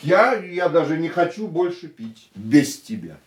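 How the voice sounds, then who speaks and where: An actor playing an ardent, reflective racing driver in a radio drama, speaking in an intimate, searching, romantic manner. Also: male voice, on the high side, big pitch swings, unhurried, slightly rough, exaggerated voice. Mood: animated, reflective. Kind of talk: radio play acting.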